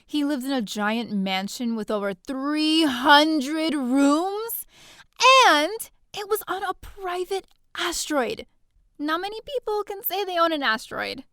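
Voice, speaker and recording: A woman's voice: sits high, animated, unhurried, a bit bright, no echo, clean studio sound, exaggerated voice. A woman playing a chatty, bookish young heroine in a space-opera audio drama, theatrical and sardonic, full of casual asides. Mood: gushing. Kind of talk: first-person scripted audio drama narration.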